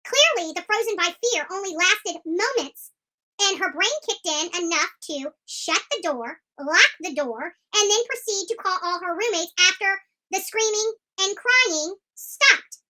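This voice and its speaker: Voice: extremely high pitch, lively intonation, unhurried, sharp tone, poor audio. A true crime commentator who speaks in an opinionated, emphatic and combative way, often repeating phrases for force. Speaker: online commentator.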